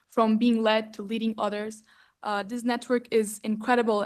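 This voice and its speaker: Young woman giving a heartfelt, halting speech. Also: on the high side, darkish tone. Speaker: student network member. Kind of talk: personal speech.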